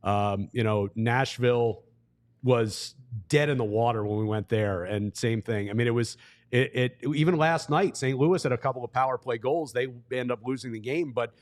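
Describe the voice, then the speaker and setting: A man who works as a play-by-play broadcaster, talking as a guest analyst in a measured, explanatory, conversational way. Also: medium pitch.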